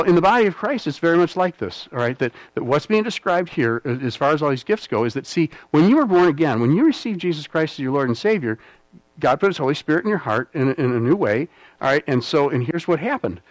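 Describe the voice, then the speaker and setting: A man's voice: on the high side, no echo, poor audio. A man from the United States preaching a rambling, conversational sermon, with frequent "all right?" check-ins and self-deprecating humor. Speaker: pastor.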